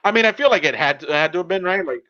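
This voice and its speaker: A man with a fairly high voice talks casually as a podcast host. The recording is a little dull and lo-fi. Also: lively intonation, very fast, bright, forceful tone. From Miami, Florida. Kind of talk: casual chat. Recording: dull, band-limited podcast audio.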